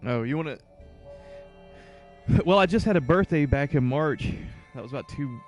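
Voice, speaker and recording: A man's voice: fairly high pitch, expressive, normal speed, darkish tone, soft background music. A man in his mid-thirties chatting casually with viewers as a video game livestreamer, in an informal, conversational way. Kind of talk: casual chat with stream viewers.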